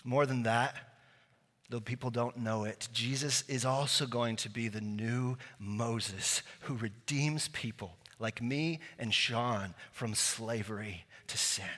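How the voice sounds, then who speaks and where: A man's voice: mid-range voice, takes it slowly, fairly bright tone. A male pastor preaching a sermon, telling a story in a casual way with many fillers and restarts. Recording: long hall reverb.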